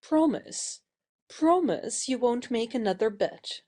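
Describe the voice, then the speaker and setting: An actress playing an imperious but warm-hearted young lady of the house, bossy and emphatic, in a satirical audio play. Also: a little high, lively intonation, slow pace. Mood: urgent pleading.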